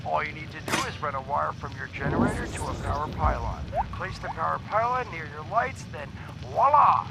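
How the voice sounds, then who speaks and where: A hammy folksy headmaster on a game tutorial tape, lively highish voice, pleased with himself, noisy background. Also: male voice, fairly quick, gravelly. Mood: showy, excited. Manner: showy, self-satisfied, hammy. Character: self-styled headmaster, hammy, folksy amateur instructor man on a tape. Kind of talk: scripted instructional tape recording in a video game.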